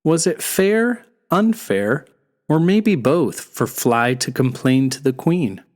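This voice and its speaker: A storyteller giving an expressive scripted narration of a folktale for children. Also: medium pitch, slow.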